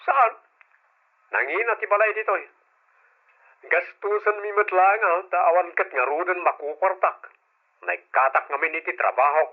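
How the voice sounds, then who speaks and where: A man's voice: high voice, moderate pace, long pauses, natural voice. A man with an Ilocano accent playing a struggling, jobless husband and brother who answers curtly and defensively, in an old radio drama. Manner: defensive, curt. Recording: dull lo-fi old radio recording.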